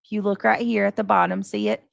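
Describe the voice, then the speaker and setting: A woman hosting a casual livestream monologue in a mid-pitched voice with a gravelly fry. She sounds exasperated and defensive, leaning on her points emphatically and sometimes swearing. The sound is close and dry. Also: level tone, fast talker, no room sound. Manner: coarse, swearing. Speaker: livestream host addressing subscribers and moderators.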